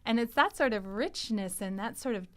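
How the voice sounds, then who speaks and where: Higher-voiced woman hosting a casual podcast. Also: lively intonation, normal speed. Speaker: podcast host. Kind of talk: casual, animated conversation.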